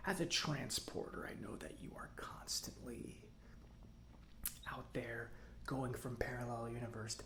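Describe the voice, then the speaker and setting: A man playing a gentle, reassuring robot mechanic in a soft-spoken ASMR roleplay, talking in an unhurried, slightly hesitant way. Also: medium pitch, reverberant, slight background noise, lightly acted. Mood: calm, explaining.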